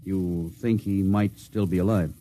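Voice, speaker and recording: An actor playing a steady, commanding American starship captain in a 1950s radio drama. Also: male voice, sits low, unhurried, a little hiss or hum. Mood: concerned, subdued. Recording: vintage 1950s radio recording, music behind.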